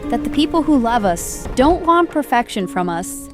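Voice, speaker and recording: A woman narrating the close of a scripted story in a measured, sincere way as its storyteller. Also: a little high, sing-song, music in the background. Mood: reflective. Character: female storyteller closing the tale with its moral.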